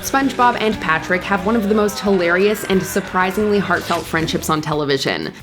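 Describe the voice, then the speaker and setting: A woman's voice: lowish voice, animated, fairly quick, music in the background. A woman narrating a scripted countdown show with polished presenter delivery.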